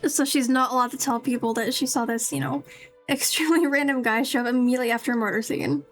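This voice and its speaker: A woman's voice: high voice, steady tone, quick pace, soft background music. A woman casually commenting on a game as she streams, musing and rambling as she goes. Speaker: streamer playing a story game.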